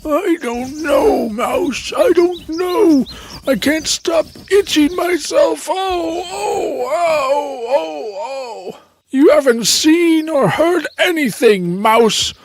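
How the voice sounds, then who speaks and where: An actor voicing a grumpy, haughty lion king in a children's animated story, with an imperious, groaning delivery. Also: high voice, drawn-out words, heavily acted. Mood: pained, irritated.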